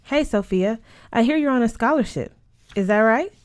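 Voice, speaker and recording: A woman's voice: a little high, expressive, normal speed, clean tone, pitched above the performer's own voice, natural voice. A woman voicing a nosy, tactless rich teenage girl in an audiobook, with a pointed, prying manner. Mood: prying, haughty.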